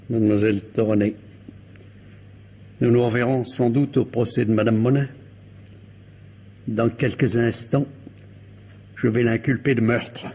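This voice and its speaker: A patient police commissioner in a radio mystery. He is a man of formal courtesy, with a husky, medium-pitched voice and a slow, measured, probing delivery. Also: a little mellow. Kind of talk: French radio mystery drama acting.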